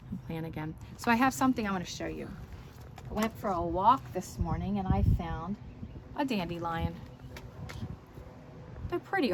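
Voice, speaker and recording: A woman speaks slowly, as in a story time for young children. She explains things simply and checks in with questions as she reads and shows. There is some background noise in the recording. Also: mid-range voice. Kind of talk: read-aloud and show-and-tell for young children.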